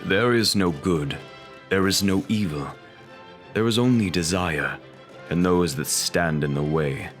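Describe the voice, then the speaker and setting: Ominous male monster villain, radio drama: deep, unhurried, cold, menacing. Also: faint music behind. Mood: cold menace. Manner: slow, menacing, pronouncing.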